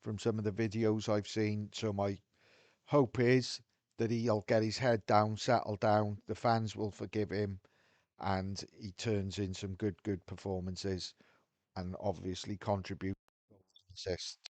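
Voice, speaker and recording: A man's voice: on the low side, level tone, a little slow, darkish tone, no room sound. A man with a Welsh accent talking frankly to his viewers, thinking aloud as he goes. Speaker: video host. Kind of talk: casual talk to camera.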